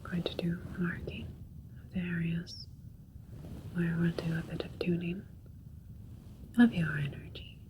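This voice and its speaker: A woman voicing a calm, clinical female alien doctor in an ASMR roleplay, soothing and methodical in a soft, breathy whisper. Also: lowish voice, a little slow, long pauses, noisy background, lightly acted.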